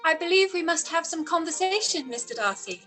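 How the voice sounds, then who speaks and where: An actress playing a witty, proud young gentlewoman in a period radio-play reading, with arch, pointed, formal delivery. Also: very high-pitched, expressive, a little fast, faint music behind, exaggerated voice.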